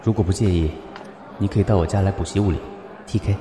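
A composed, reserved male law professor as acted by a man in an audio drama. The voice is fairly low and measured, over a clear music bed. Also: lightly acted. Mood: calm, firm.

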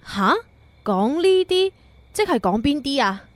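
Woman playing a coy, high-voiced young heroine. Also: big pitch swings, a little slow, dry recording. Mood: playful, teasing. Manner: playful, coy. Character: sweet, teasing young museum worker heroine. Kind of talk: romantic radio drama dialogue.

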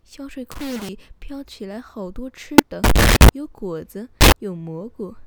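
Loud background sound sits behind a woman narrating a children's bedtime story. She is a radio host with a soothing, scripted storytelling delivery, at an ordinary pitch for a woman. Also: lively intonation, measured pace, heavy background noise.

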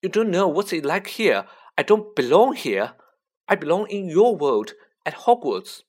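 A woman's voice: on the low side, very animated, measured pace, darkish tone, voice pushed up. A woman voicing a young boy wizard in a fantasy audiobook, earnest and baffled, with a hesitant, questioning manner. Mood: dismayed protest. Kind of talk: children's fantasy audiobook character voice.

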